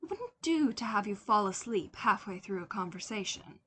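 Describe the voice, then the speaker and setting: A woman's voice: mid-range voice, expressive, moderate pace, a bit bright, slight background noise, close to an everyday voice. A woman voicing a prim, wealthy mother with a formal, clipped, airily condescending manner in an audiobook-style story. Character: prim, coolly commanding wealthy mother of a young boy.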